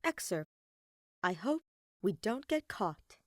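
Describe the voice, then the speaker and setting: A woman's voice: medium pitch, sing-song, takes it slowly, no echo. A woman reading scripted fiction aloud as a story narrator, in a close recording.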